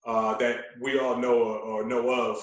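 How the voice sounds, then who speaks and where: A man telling stories in casual conversation as a podcast guest, animated and often repeating words as he goes. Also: medium pitch, moderate pace. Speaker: podcast guest, veteran radio host. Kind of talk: casual chat, storytelling. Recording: echoey room, distant from mic.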